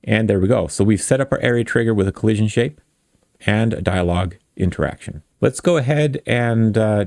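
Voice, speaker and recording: Low, gravelly man teaching casually. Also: darkish tone, no room sound, clean studio sound. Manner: informal, thinking aloud, self-deprecating. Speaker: tutorial host. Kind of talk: casual screen-recorded coding tutorial.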